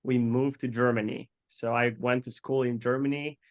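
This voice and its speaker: A man's voice: medium pitch, flat delivery. A man from Poland who speaks English, hosting a casual interview and often repeating words as he finds them. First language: Polish. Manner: halting, repeats words while searching for them. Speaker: podcast co-host.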